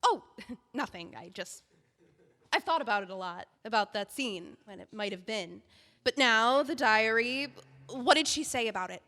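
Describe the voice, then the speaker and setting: An actress playing an earnest book editor in an audio drama, hesitant and placating. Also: female voice, fairly high pitch, expressive, normal speed, sharp tone. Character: young woman editor, earnest and sympathetic. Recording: long hall reverb.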